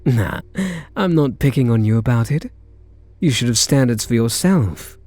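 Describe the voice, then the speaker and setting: A British man playing a charming, teasing kidnapper who is softening toward his captive, in an intimate ASMR roleplay. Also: medium pitch, expressive, moderate pace, no echo, close to an everyday voice. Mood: wistful, teasing. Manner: teasing, flirtatious, murmuring pet names.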